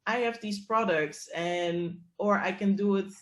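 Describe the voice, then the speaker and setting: A woman's voice: medium pitch, unhurried. A woman from the Netherlands, a company co-founder, talking as a guest in a casual interview and telling stories with laughter. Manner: laughing, lively storytelling. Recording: roomy echo.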